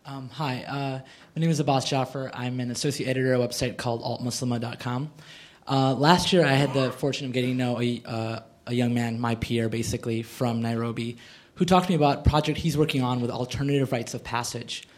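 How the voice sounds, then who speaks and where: A man's voice: mid-range voice, fairly even intonation, a little fast. A man in the audience posing a formal, wordy, thoughtful question to a panelist. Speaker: audience questioner, associate editor. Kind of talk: articulate prepared question at a panel.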